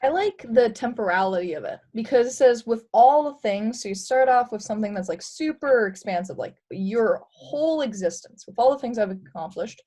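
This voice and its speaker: A woman's voice: fairly high pitch, expressive, moderate pace, hardly any pauses, dark tone. A woman whose first language is Romanian, talking animatedly in a webinar discussion with many "like"s. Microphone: video call.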